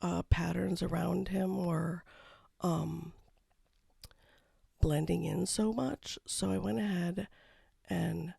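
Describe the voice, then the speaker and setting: An artist talking casually over a painting time-lapse, with a froggy voice from a cold and a low-key, rambling manner. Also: steady tone, takes it slowly, creaky, long pauses, warm tone, husky, dry recording, clean studio sound. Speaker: watercolor artist. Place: home studio.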